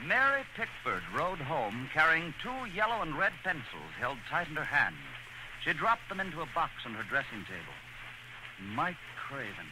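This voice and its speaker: A man's voice: on the high side, huge pitch swings, moderate pace, loud music behind the voice. A man giving earnest, theatrical scripted narration in a 1940s radio style. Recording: noisy old radio broadcast.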